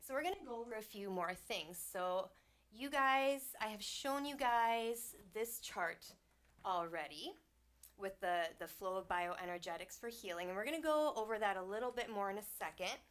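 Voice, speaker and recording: Female host, soothing and unhurried, mid-range voice, faintly stuffy from a cold. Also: mid-range voice, lively intonation. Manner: soft, soothing, unhurried delivery. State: slightly congested. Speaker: host and sound healer. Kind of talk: livestreamed spiritual talk. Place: small home studio.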